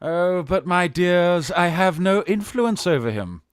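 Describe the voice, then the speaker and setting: A woman's voice: lowish voice, animated, measured pace, smooth, dry recording, studio quality. Woman as flustered British gent playing a dear aunt, swooping intonation. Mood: bluffing, hesitant. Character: young gentleman posing in disguise as a rich dear aunt. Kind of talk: Victorian stage farce, radio play acting.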